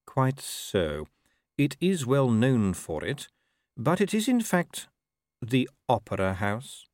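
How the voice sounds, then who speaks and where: Male ruler, narrator-acted for storybook: urbane, formal, deadpan, patient, sardonic, close dry sound. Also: mid-range voice, takes it slowly, dry recording.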